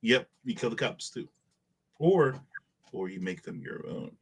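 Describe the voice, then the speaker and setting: Slangy man with a mid-pitched voice. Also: expressive, measured pace, a little hiss or hum. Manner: informal, slangy. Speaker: podcast panelist. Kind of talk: casual chat.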